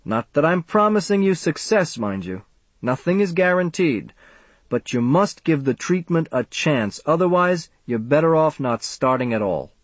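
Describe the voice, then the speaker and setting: A man voicing a fat, self-important older doctor in an audiobook, with a pompous, measured, clinical manner. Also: a little high, big pitch swings, dry recording, voice pushed up. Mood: firm, lecturing.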